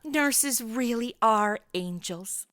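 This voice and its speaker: A woman's voice: on the high side, lively intonation, takes it slowly, fairly bright tone, no room sound, clean studio sound. A woman with an American accent playing a brash, flippant superheroine, sarcastic and irreverent, in a comedy audio drama.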